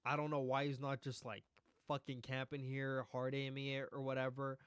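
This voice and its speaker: A man talks through match footage in blunt, rambling, profane commentary, his voice wearing thin. His tone stays clean and smooth. The sound is dry and close on the mic. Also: mid-range voice, completely flat delivery, normal speed, dry recording. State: losing his voice. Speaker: streamer reviewing esports matches. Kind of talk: casual live-stream commentary.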